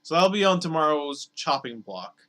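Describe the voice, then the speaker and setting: A man streaming games, talking casually and rambling with lots of fillers, his voice slightly hoarse. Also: fairly high pitch, sing-song, normal speed, pushed and bright. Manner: rambling, filler-heavy, repeats words. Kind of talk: casual live-stream chat over gameplay.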